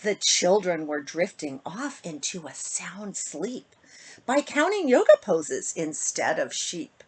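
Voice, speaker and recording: Animated, slightly husky woman reading to children. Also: fairly low pitch, takes it slowly. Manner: animated, chatty asides to young listeners. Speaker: children's book author reading aloud. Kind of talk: casual intro and expressive storybook reading to children.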